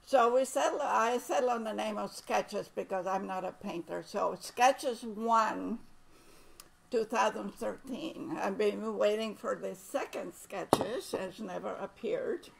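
A woman's voice: medium pitch, slow pace, creaky, slight background noise. An older woman, a guest painter at a winery tasting, chatting modestly and self-deprecatingly about her watercolor labels. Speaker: guest artist, winery founder. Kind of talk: casual chat. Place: winery tasting room, indoors. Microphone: tabletop mic on stand.

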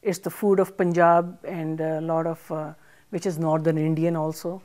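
Older woman from Pakistan, low voice, mellow tone, thoughtful with many fillers, lapel mic. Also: flat delivery, moderate pace. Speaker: guest author and chef. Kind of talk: interview conversation. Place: studio set with armchairs and plants.

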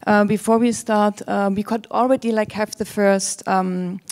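A woman's voice: mid-range voice, steady tone, unhurried, a little mellow. A woman in her forties, a native German speaker with a German accent, giving an academic presentation into a handheld microphone on stage. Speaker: curator and theorist, panel speaker. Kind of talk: academic presentation, partly read from script. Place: auditorium stage with audience.

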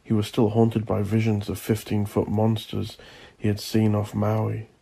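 Gravelly man narrating dark horror, widely varied pitch. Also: completely flat delivery, measured pace. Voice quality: gravelly, husky. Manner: intense, theatrical. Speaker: audiobook narrator. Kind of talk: dramatic scripted horror narration.

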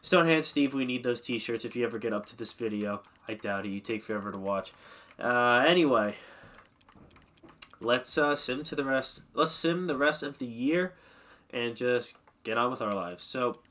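A man's voice: medium pitch, moderate pace, a bit bright. A young man slightly congested, rambling casually and self-mockingly while commentating a hockey management game at his desk mic. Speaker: gaming commentator.

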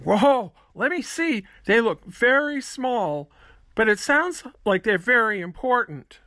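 A woman's voice: on the high side, big pitch swings, slow, a bit bright, no room sound, studio quality, full character voice. A woman voicing a curious fifth-grade schoolboy in a scripted children's puppet show.

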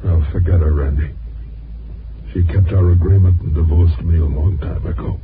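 Broken-down ex-reporter, very low voice, bitter, halting, 1950s radio drama, muffled recording. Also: male voice, a little hiss or hum.

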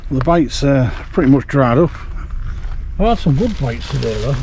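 A man's voice: medium pitch, expressive, moderate pace, a little mellow, a little hiss or hum. A middle-aged man gives a rambling, casual fishing update to camera, outdoors at night. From England. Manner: rambling, chatty, with many fillers. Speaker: fishing vlogger.

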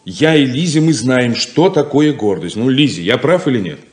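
An actor playing a level-headed, proud young son in a 1970s Soviet radio play, reasoning firmly and practically. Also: male voice, mid-range voice, expressive, normal speed, noisy background, lightly acted.

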